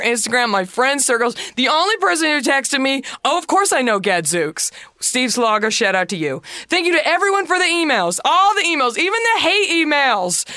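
Woman, deep voice, bright, smooth, dry close sound, chatty, sarcastic podcast host. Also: no echo. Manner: chatty, joking, sarcastic asides. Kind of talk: solo podcast chat.

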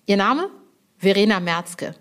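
A woman's voice: mid-range voice, expressive, a little slow, fairly bright tone, clean tone. A woman presenting a podcast in a chatty, informal style, playful, effusive and colloquial. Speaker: podcast host.

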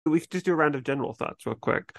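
A man's voice: fairly high pitch, fast, vocal fry, no room sound, studio quality. A man from New England with an American accent, hosting a casual discussion in an easygoing, reflective way. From New England, United States. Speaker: podcast host. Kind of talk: casual chat.

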